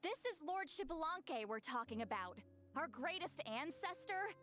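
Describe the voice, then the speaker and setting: Super high bouncy warrior girl, pitch all over, game audio w faint music. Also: lively intonation, moderate pace, fairly bright tone. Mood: exasperated. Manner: bouncy, wide-swinging pitch. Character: excitable young warrior girl, eager. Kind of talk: game voice acting.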